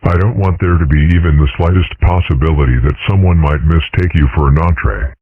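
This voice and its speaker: A man voicing a calm, dry-witted dragon father in a fan comic dub, speaking in a deadpan, matter-of-fact way. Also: rumbling low, fairly quick, husky, heavily acted. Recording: dry, phone-like lo-fi sound.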